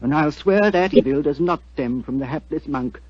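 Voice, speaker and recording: An actor playing a small, grandiose ghost hunter, florid and ominous, in a 1940s radio drama. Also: male voice, a little high, moderate pace, a little hiss or hum, natural voice. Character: small, colourful ghost hunter, grandiose self-styled crusader. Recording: dull old radio recording.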